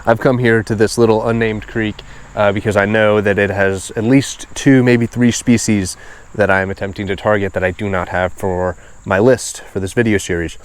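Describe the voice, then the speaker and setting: Low-pitched, congested man vlogging outdoors. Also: steady tone, moderate pace, smooth. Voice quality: nasal, stuffed-up. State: congested and sniffly. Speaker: host of a fishing video series. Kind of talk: casual outdoor vlog narration. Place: outdoors beside a small creek.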